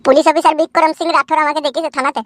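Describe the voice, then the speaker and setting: A performer voicing a cowardly, henpecked village husband in a cartoon who whines and stammers. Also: male voice, very high voice, flat delivery, rapid-fire, a bit bright, dry recording, clean studio sound. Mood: complaining. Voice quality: whiny, stammering.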